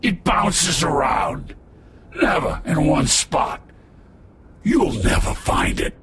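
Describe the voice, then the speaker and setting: Brash male game thug: high-pitched, animated, foul-mouthed and taunting. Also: takes it slowly, gravelly, slight background noise, heavily acted. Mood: pained, defiant. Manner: taunting, swearing, jeering. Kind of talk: video game voice acting.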